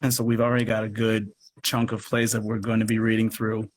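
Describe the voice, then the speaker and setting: A man chatting casually as an interview guest, expressive and thinking aloud as he explains his work. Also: on the low side, brisk, a little hiss or hum. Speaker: radio play producer and guest. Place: remote live video chat.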